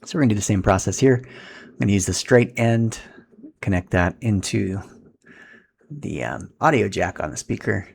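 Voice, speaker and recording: A man's voice: on the low side, expressive, normal speed, gravelly, pauses often, slightly rough. A man giving a casual, step-by-step setup tutorial in an informal, instructional way as he handles equipment.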